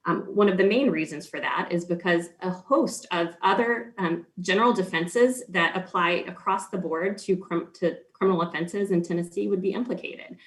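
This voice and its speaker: A man arguing for the state before an appeals court, formal and measured, with frequent ums and small restarts. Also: high-pitched, fairly bright tone. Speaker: state's attorney. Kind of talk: appellate oral argument.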